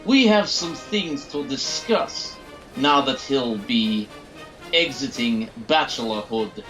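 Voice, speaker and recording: An actor playing a menacing doctor villain in an audio drama, theatrically courteous and falsely polite. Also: male voice, mid-range voice, lively intonation, slow, a bit bright, rough recording. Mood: silky, insistent. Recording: music under the voice.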